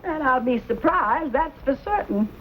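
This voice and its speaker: A middle-aged frontier mother in an old western drama. Her voice is high, chiding and firm with fondness underneath. The sound is dull, with faint noise. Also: animated, moderate pace. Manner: chiding, plainspoken.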